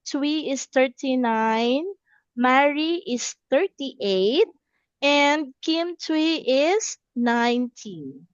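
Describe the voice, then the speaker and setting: A woman's voice: high voice, expressive, drawn-out words, clean tone, no echo, muffled recording. A woman in her thirties teaching a simple English lesson, encouraging, repeating words and checking understanding. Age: 30s.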